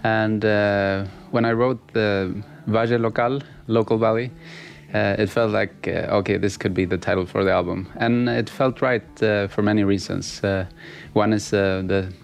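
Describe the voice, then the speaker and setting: A Swedish man, a musician guest whose first language is Swedish, answering in a halting casual interview with many fillers and restarts. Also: on the low side, steady tone, vocal fry. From Sweden.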